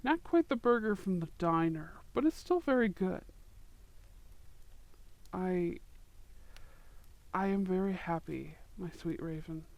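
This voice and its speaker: A man voicing a young male wizard courting his sweetheart in an ASMR roleplay, soft-spoken and affectionate. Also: sits high, lively intonation, takes it slowly, vocal fry, long pauses, no room sound, full character voice, poor audio. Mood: tender. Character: young male wizard, tender romantic suitor.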